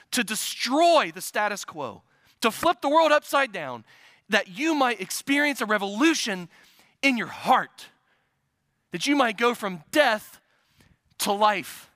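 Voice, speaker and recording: A man's voice: sits high, pushed and bright, dry recording. A man from the Midwest preaching a sermon, building to urgent, emphatic repetition. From American Midwest. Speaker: pastor.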